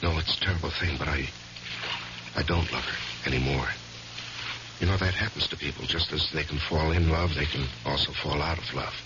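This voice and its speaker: An actor playing a troubled married lawyer in a 1970s radio drama, speaking in a hesitant, halting way. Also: male voice, rumbling low, steady tone. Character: troubled married male lawyer narrating his guilty downfall. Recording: noisy, muffled 1970s radio recording.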